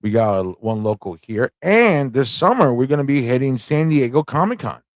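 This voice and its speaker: A man hosts a livestream in an informal, off-the-cuff style. His voice sits at a middle pitch and he rambles, thinking aloud and repeating himself. The sound is close and dry, with very little room in it. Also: animated, moderate pace, no room sound. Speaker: livestream show host. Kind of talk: casual rambling livestream chat and commentary.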